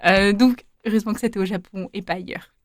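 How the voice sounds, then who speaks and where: A woman's voice: mid-range voice, steady tone, quick pace, dry recording. Young woman chatting in an interview, lots of ums, laughs a lot, dry close sound. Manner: hesitant, many fillers, laughs often. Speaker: interviewee, volunteer abroad. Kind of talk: casual interview.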